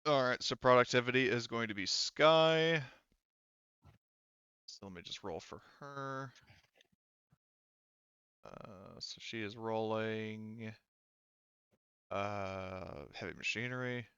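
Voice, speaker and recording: Bright, low-voiced man as game master. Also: smooth, dry recording, lo-fi sound. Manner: halting, thinking aloud. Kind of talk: running a tabletop roleplaying game, casual.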